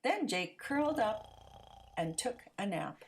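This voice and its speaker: A woman narrating a children's story aloud in an expressive, theatrical storytelling manner. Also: medium pitch, a little slow.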